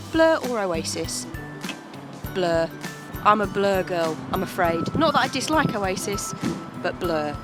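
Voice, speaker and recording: An English woman chatting informally in a personal vlog, bunged up with a cold and a sore throat. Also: lowish voice. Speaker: vlogger.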